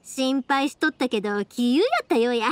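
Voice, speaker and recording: An actress playing a cheerful, warm-hearted young woman with a Kansai accent in an anime game, speaking in a friendly, breezy, folksy way. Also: fairly high pitch, moderate pace, slight background noise, lightly acted.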